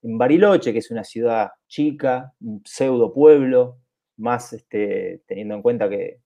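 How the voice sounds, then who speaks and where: A man's voice: mid-range voice, unhurried, gravelly, mellow. A man, chatting casually on a podcast in a rambling way, with lots of restarts and repeats. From southern Chile. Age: 40s. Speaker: podcast co-host.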